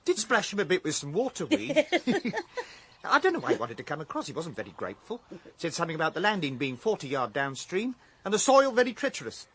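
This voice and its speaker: An actor playing a mild, whimsical middle-aged runaway shopkeeper in a radio drama, with an English accent and a hesitant, playful way with fanciful words. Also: male voice, sits high, wildly swooping pitch, brisk, sharp tone, slightly rough, heavily acted. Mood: cheerfully boastful. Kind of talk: naturalistic radio drama acting.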